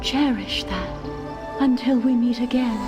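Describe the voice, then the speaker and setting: Woman as a high-voiced, echoing serene goddess. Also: a little slow, natural voice. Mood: solemn, serene. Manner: stately, ceremonial. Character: serene, solemn goddess blessing a mortal. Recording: long cavernous reverb, music behind.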